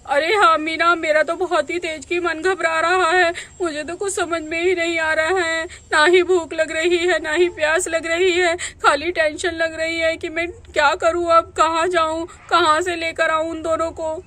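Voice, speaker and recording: A woman's voice: extremely high pitch, level tone, moderate pace, hardly any pauses, pushed and bright. A woman voicing a worried village mother who talks in a tearful, fretful way. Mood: anxious.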